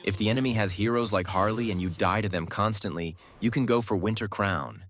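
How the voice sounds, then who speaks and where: Male gaming guide narrator, lowish pitch, instructive delivery with cocky trash-talk flavor. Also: brisk, a bit bright, a little hiss or hum. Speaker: gaming guide creator, commentator. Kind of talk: scripted game guide commentary.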